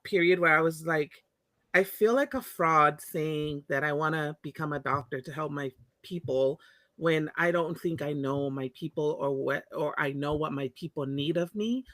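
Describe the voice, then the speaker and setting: A woman's voice: low-pitched, moderate pace, a bit bright, no room sound. A woman from Tonga, an interview guest telling her personal story in a reflective, candid way, often restarting her sentences. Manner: reflective, frequent restarts and self-corrections.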